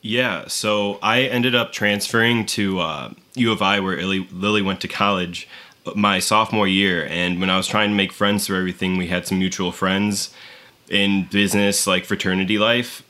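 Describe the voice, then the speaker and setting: A man's voice: low-pitched, fairly even intonation, moderate pace, pushed and bright. A man in his twenties with an American accent, chatting casually as a podcast guest. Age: 20s. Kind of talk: casual chat.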